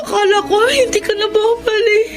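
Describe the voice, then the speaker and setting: A woman's voice: very high voice, steady tone, fairly quick, over music. A Filipino woman playing a clinging, plaintive little child in a radio drama, tearful and devoted. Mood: tearful, relieved. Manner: wailing, plaintive.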